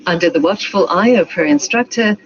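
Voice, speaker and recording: A woman's voice: on the low side, fairly quick, a little hiss or hum. A woman reading a scripted documentary narration as its narrator. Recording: dull playback with voices talking over.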